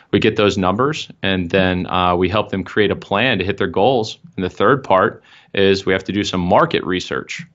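A man's voice: sits low, a bit bright. A young man, a marketing consultant, explaining his firm's process in an interview over a video call from an office, with frequent fillers. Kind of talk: interview answers. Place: office room. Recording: dull video-call audio.

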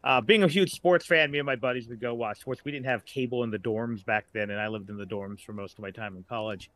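An American man in his late forties talks casually as a podcast guest. His voice is bright and punchy at an ordinary male pitch. He rambles along like someone who enjoys telling stories. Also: fast, no room sound. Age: late 40s. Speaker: guest, writer.

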